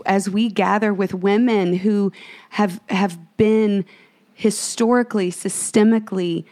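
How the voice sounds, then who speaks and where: A woman co-hosting a faith podcast, talking with earnest fervor. She restarts her sentences often and drifts into a preacher-like cadence. Her voice sits at an ordinary middle pitch for a woman. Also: steady tone, unhurried, dark tone. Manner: fervent, preachy, many restarts. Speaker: podcast co-host.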